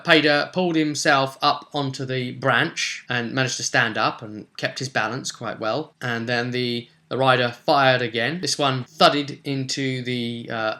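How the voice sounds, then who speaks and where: A man's voice: medium pitch, bright, forceful tone. A man recapping a tabletop roleplay session as game master, telling it in a rambling, casual way with bits of acted dialogue.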